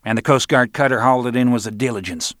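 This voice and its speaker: A man's voice: medium pitch, fairly bright tone, dry recording, studio quality, voice pushed up, lightly acted. A man voicing a folksy, menacing enforcer. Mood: coolly threatening. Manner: folksy, taunting, unhurried. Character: menacing cocky male enforcer, folksy drawl, coolly threatening.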